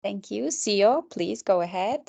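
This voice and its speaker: A woman's voice: medium pitch, expressive, unhurried, gravelly, dark tone. A woman hosting an online mentorship session, speaking hesitantly with many filler sounds.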